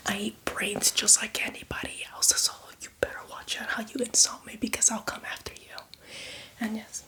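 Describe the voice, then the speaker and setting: A woman playing a boastful, playfully menacing female zombie in a chatty, teasing ASMR roleplay, speaking in a breathy, hushed whisper. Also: fairly high pitch, level tone, normal speed, lightly acted. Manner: chatty, teasing, addresses the listener as victim.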